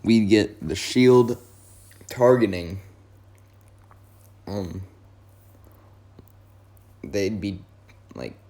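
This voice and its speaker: A hoarse young man, his voice worn from yelling, rambling casually through a wrestling talk with many fillers and self-corrections. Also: lowish voice, very slow, pauses often. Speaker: wrestling video host. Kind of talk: casual fantasy-booking commentary.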